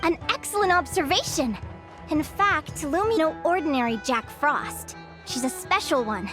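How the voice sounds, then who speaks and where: A woman playing an eager, bubbly young guild advisor in a video game, expressive and enthusiastic. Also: high-pitched, moderate pace. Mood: storytelling. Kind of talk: animated video game character acting. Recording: background music under the voice.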